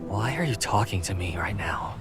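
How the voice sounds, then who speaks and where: Guy acting as a sardonic young death god, deep voice, weary, loud music all over it, audio drama. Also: expressive, normal speed. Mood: wry, probing.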